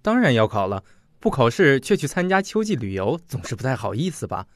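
An actor playing a cocky teenage student in a radio drama, sardonic and defiant. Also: male voice, medium pitch, big pitch swings, a little fast, dry recording.

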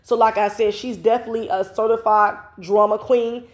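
A woman's voice: a little high, fairly even intonation, fairly quick. A stuffy-nosed woman in her thirties talks straight into her phone at home, still congested from a cold. She rambles through her opinions with plenty of fillers, casual and sure of herself. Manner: chatty, opinionated, frequent "you know" fillers. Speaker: vlogger host. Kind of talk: casual commentary vlog. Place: home, indoors. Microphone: phone camera close up.

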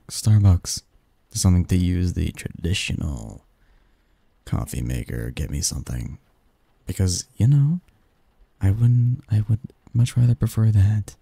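A man playing a snarky young research assistant who fondly teases a friend in a halting, wordy, intimate ASMR roleplay monologue. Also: lowish voice, vocal fry, dark tone, dry recording, heavily acted. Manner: halting, sighing, teasing, wordy.

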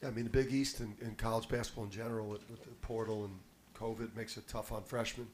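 Confident coach, low voice. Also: male voice, little pitch movement, quick pace, slight background noise. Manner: confident, emphatic, repeats words when starting. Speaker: head basketball coach. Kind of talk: postgame press conference answers. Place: press room at a table. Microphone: table mic on a stand.

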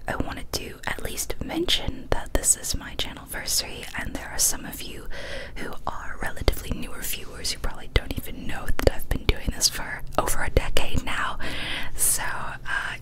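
A young woman whispers softly right up at a studio microphone. Her delivery is intimate ASMR, gentle and deliberate, with breathy, unvoiced words that take their time. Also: poor audio. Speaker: ASMR YouTuber and host. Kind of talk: whispered ASMR, intimate talk and story reading. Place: small cozy indoor room. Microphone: large studio microphone close to the mouth.

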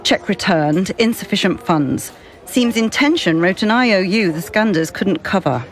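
A man's voice: sits high, lively intonation, moderate pace. A man playing a terse older woman who works as a mission spotter in an audio drama. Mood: terse, businesslike. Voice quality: coughing, ailing. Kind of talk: scripted audio drama dialogue.

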